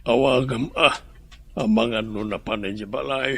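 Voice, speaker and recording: Walang-bahalang asawa. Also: male voice, medium pitch, fairly even intonation, moderate pace, gravelly, husky. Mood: offhand. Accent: Ilocano.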